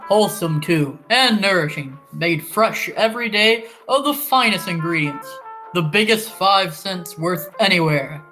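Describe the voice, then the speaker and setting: A woman's voice: lowish voice, lively intonation, unhurried, fairly bright tone, faint music behind, rough recording. Showy old-time radio announcer played by a woman. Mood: enthusiastic, inviting. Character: enthusiastic old-time radio announcer introducing a space serial.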